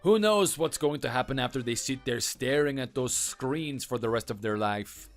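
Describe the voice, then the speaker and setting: A man voicing a scornful, boastful French mechanic who taunts and belittles in a lower, quieter voice, with faint music behind. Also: normal speed, lightly acted. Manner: taunting, contemptuous.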